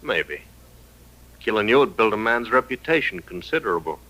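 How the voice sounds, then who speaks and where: No-nonsense young US marshal for radio western; fairly deep, bright, hard-edged delivery. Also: male voice, animated, moderate pace, poor audio. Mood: laconic. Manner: matter-of-fact, firm. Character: young, firm, laconic deputy marshal in the West. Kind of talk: old-time radio western acting.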